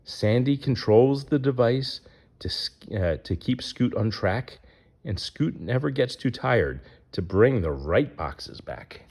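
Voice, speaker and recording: A man's voice: medium pitch, expressive, slow, a little mellow, breathy edge. A man reading a rhyming children's picture book aloud as its narrator.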